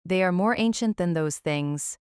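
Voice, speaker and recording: A woman's voice: on the low side, normal speed, a little mellow, smooth, no echo, clean studio sound, close to an everyday voice. A woman acting a brash, battle-eager queen in an audio drama, curt and commanding. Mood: confident.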